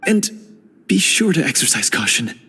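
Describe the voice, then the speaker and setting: An actor playing a steadfast dungeon guard who is secretly a hunter, speaking in a calm, hushed and urgent way. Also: male voice, fairly high pitch, normal speed, slightly rough, in a large hall, exaggerated voice. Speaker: undercover ally. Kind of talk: game cutscene voice acting.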